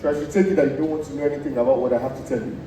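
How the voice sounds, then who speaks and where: A man's voice: fairly high pitch, steady tone, racing, warm tone, close to an everyday voice. A young man acting a deferential, scheming servant in a stage play. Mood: insinuating. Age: young adult. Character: scheming, deferential male servant bearing gossip. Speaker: student stage actor. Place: theatre stage, recorded from afar. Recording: distant, echoey theatre with noise.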